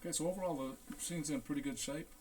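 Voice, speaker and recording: A man talking through a hands-on equipment check as a repair technician, in a casual, unhurried, halting way, thinking aloud. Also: on the high side, darkish tone, a little hiss or hum, poor audio. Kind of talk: casual hands-on demonstration narration.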